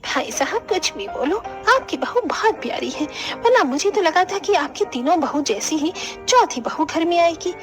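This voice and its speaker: A woman's voice: very high voice, lively intonation, moderate pace, few pauses, over music. A woman voicing a kindly, chatty middle-aged family friend full of approval in a Hindi cartoon drama. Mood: approving.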